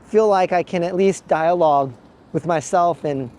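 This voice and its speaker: Midwest guy talking to his pocket cam outside, kinda high mellow voice, thoughtful, lots of pauses and restarts. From Midwest United States. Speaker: travel vlogger. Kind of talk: reflective vlog monologue to camera. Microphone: handheld pocket gimbal camera.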